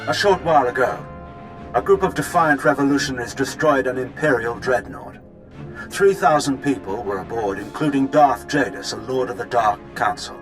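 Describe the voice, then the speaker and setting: An actor playing a defiant revolutionary leader, delivering a solemn, measured political manifesto in a sci-fi game. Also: male voice, mid-range voice, close to an everyday voice. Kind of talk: dramatic political speech, video game acting.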